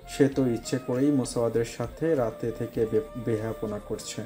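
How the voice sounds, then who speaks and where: A man's voice: mid-range voice, dark tone. A man narrating a scripted romantic story as its storyteller.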